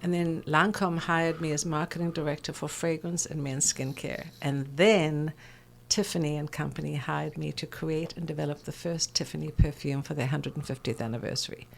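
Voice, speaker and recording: Low-voiced older South African woman telling stories. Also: fairly even intonation, normal speed, creaky, slight background noise. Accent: English South African. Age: 60s. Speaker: interview guest, fragrance expert. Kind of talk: conversational personal storytelling.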